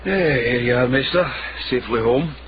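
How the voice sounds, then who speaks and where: An actor playing a wary, dogged investigator in a period radio drama, terse, guarded and probing. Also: male voice, medium pitch, normal speed, echoey room, lightly acted. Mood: easy, guarded. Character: wary, dogged male investigator in old Edinburgh. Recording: muffled, noisy radio drama recording.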